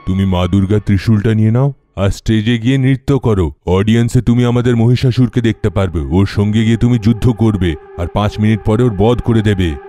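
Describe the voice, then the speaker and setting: A man playing a stage director who gives careful instructions in an animated story. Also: fairly low pitch, brisk, soft background music, close to an everyday voice. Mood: instructing. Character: adult male stage director, instructing and cautioning.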